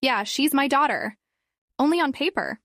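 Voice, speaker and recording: Crude loud imp boss man, high-pitched and rowdy, a woman puts him on, storybook reading. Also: animated, moderate pace, dry recording, clean studio sound. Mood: brash. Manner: crude, teasing, shouty.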